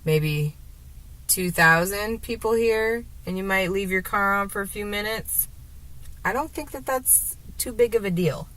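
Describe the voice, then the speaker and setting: A woman with a sore throat and a gravelly, deeper voice, rambling hesitantly to the camera from her car, with many fillers and restarts. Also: a little slow, a bit bright, rough recording. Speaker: vlogger. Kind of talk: rambling casual monologue while driving.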